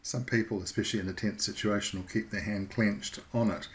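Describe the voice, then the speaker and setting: Low-pitched man giving informal instruction. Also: steady tone, fairly quick.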